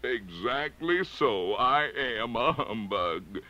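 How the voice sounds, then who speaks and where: A man's voice: medium pitch, expressive, takes it slowly, sharp tone, muffled recording. A man voicing a little old humbug wizard, pompous yet meek, speaking with stretched-out words in a storybook.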